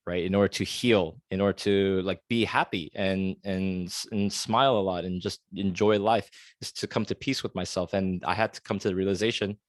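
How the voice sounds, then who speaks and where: Earnest low-voiced man in his thirties. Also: fairly even intonation, moderate pace, dry recording. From United States. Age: 30s. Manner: earnest and confessional, many fillers and restarts. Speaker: podcast host. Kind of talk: solo reflective podcast monologue.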